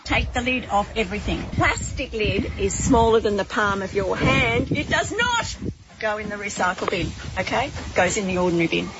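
A woman's voice: medium pitch, animated, normal speed, dull audio, very noisy. A woman who works as a waste educator, giving firm, instructive directions in a video.

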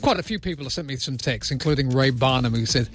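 A man's voice: medium pitch, fast. A man hosting early-morning talkback radio, chatty and self-deprecating, with rambling asides. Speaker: radio host.

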